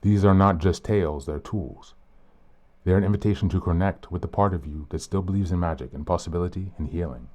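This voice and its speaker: A man with an extremely low, dark and mellow voice reads aloud for his self-help podcast. He is a bit sick and slightly hoarse, and keeps a calm, earnest, unhurried delivery. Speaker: podcast host. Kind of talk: reflective podcast reading.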